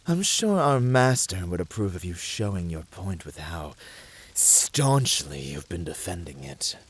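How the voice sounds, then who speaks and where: A man performs a flirty, passionate incubus for an ASMR roleplay. His low voice is husky and swoops freely as he teases. The delivery is unhurried, theatrical and flamboyant. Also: big pitch swings, heavily acted. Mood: mocking. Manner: teasing, dramatic, flirtatious delivery.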